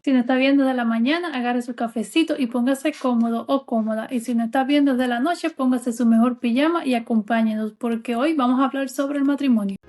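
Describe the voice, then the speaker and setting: A woman co-hosts a casual podcast with a clearly high voice. She talks straight to the listeners at home in a familiar, easygoing tone. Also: brisk, few pauses, a little mellow. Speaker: podcast co-host.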